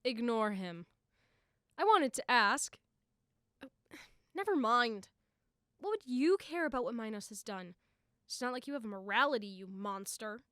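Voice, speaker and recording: A bossy young god played by a woman hosts with a high, swooping voice in a dry, close recording. The delivery is theatrical and officious, easily tipped into exasperation, in audio drama style. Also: lively intonation, a little slow, long pauses, a bit bright, no room sound. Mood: contemptuous. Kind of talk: amateur audio drama acting.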